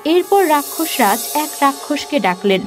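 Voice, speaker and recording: Female narrator for fairy-tale cartoon: high pitch, scripted storytelling, faint music bed. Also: very animated, moderate pace.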